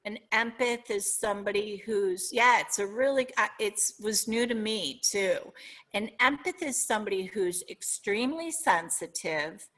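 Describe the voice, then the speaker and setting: Middle-aged Minnesotan woman, podcast guest, bright voice, reflective and sincere. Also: mid-range voice, unhurried. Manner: earnest, reflective, searching for words. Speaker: guest author. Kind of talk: podcast interview.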